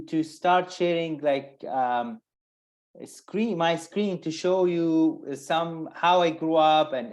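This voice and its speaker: A man with a Palestinian accent, an interview guest telling personal stories informally, haltingly and with repeated words. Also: on the high side, darkish tone. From Bethlehem, Palestine.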